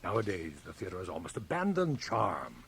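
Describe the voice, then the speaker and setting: An actor playing a suave, imperious middle-aged stage magician in a radio drama, with a measured, cultivated, pompous manner. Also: male voice, low-pitched, huge pitch swings, a bit bright, full character voice. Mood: lofty, assured. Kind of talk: 1980 radio drama acting. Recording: faintly noisy cassette copy of radio drama.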